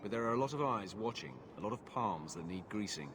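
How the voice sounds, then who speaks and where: An actor playing a weary, cynical guard at a gate in a video game. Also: male voice, fairly low pitch, expressive, fairly quick, background noise, close to an everyday voice. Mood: resigned, advising. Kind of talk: video game cutscene acting.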